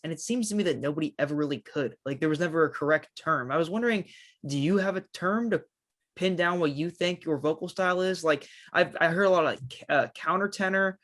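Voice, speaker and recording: A man's voice: on the high side, a bit bright. A man hosting an interview, asking questions in a friendly, loose way with fillers. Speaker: interviewer, host.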